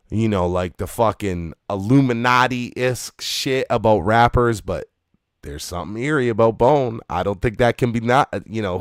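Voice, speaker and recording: A man's voice: medium pitch, smooth, no room sound, clean studio sound. A man co-hosting a live stream, talking casually and emphatically, with frequent swearing and repeated phrases. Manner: emphatic, profanity-laced, repeats himself. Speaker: podcast co-host discussing hip-hop.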